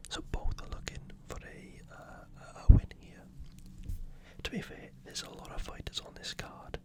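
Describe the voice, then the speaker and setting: Whispering ASMR host. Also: moderate pace. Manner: unscripted, informal, thinking aloud. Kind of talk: whispered ASMR fight preview commentary.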